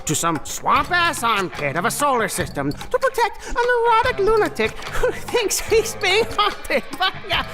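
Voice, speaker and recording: A woman's voice: very high voice, sing-song, normal speed, few pauses. A woman voicing a brash, sarcastic, scheming female mercenary in a sci-fi cartoon. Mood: exasperated, mocking. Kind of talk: animated sci-fi cartoon dialogue. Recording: loud music behind the voice.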